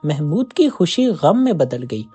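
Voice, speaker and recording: A storyteller reading a scripted moral folk tale in an expressive way. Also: medium pitch, unhurried, slightly rough, faint music behind.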